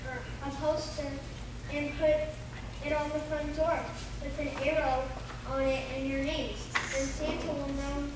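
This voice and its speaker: A woman's voice: sits high, level tone, a little slow. A woman playing a polite, enthusiastic young girl with a girlish voice in a live radio play. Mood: earnest. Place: live stage in arts center. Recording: echoey hall, distant mic, noisy.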